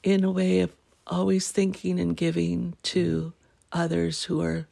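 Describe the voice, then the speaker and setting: A middle-aged woman from Canada, hosting a podcast and telling a family story in casual chat, clearing her throat as she loses her voice. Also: fairly low pitch, monotone, a little slow, dark tone. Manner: laughing, self-deprecating jokes. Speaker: podcast host.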